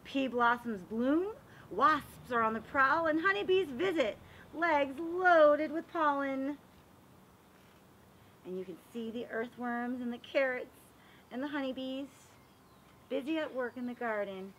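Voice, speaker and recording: A woman reads a picture book aloud for young children. Her voice is high and bright, with lots of melodic swing and an expressive, explanatory manner. There is faint noise in the background. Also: measured pace, rough recording. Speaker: read-aloud reader for children.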